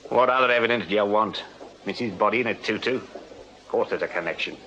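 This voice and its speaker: An actor playing an unyielding Victorian police sergeant in a radio drama, English-accented, brisk, authoritative and dryly sardonic. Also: male voice, lowish voice, sharp tone, dull audio, slight background noise. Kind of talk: full-cast period radio drama acting.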